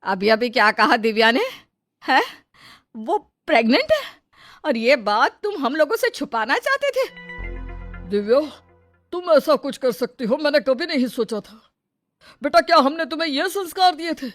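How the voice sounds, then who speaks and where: A woman's voice: high-pitched, sing-song, moderate pace, fairly bright tone, soft background music, pitched above the performer's own voice. A woman voicing an angry, honor-obsessed middle-aged mother who scolds harshly in a storybook drama. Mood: outraged.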